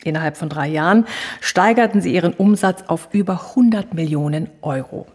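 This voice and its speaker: A woman with a fairly low, husky voice speaks as a podcast host. She presents her guests in a prepared, interviewing style, and her voice carries a long reverb. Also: normal speed, darkish tone. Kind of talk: prepared introduction and interview questions.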